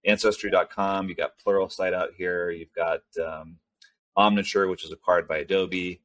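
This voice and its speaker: Deep-voiced man chatting with many fillers. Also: fairly even intonation, normal speed, fairly bright tone, dry recording. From Venezuela and California. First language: Spanish. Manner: rambling, many fillers and restarts. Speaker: guest, startup CEO. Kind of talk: conversational interview.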